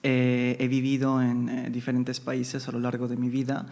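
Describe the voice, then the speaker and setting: A man from Italy, a podcast guest, talking in a measured, reflective way and laughing often in casual conversation. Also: mid-range voice, completely flat delivery, a little mellow.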